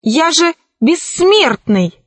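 Cowardly, touchy storybook sorcerer, performed by a woman: trembling, plaintive, pitched very high. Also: lively intonation, unhurried, a bit bright, dry recording. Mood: frightened. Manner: plaintive, pleading, pompous. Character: whiny, cowardly, easily offended male sorcerer. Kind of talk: children's storybook reading.

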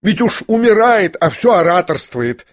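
A man's voice: a little high, animated, normal speed, husky, pitched above the performer's own voice. A man voicing a fiery, scolding older noblewoman. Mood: alarmed scolding. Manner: indignant, scolding outbursts. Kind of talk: audiobook character reading.

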